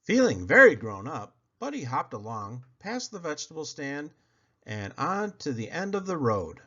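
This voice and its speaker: A man narrating a children's book aloud as an expressive storyteller. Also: on the high side, moderate pace, highs cut off. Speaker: storyteller, read-aloud host.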